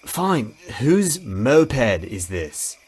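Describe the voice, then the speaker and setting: A man playing a laid-back, dry-witted male friend in a visual novel, with a casual, deadpan delivery. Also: medium pitch, very slow, slight background noise.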